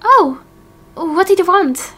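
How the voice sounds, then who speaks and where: A woman voicing a gentle, friendly, curious mother in a game roleplay, in a sweet, inquiring way. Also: extremely high pitch, animated, slow pace, exaggerated voice. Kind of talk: Minecraft roleplay voice acting.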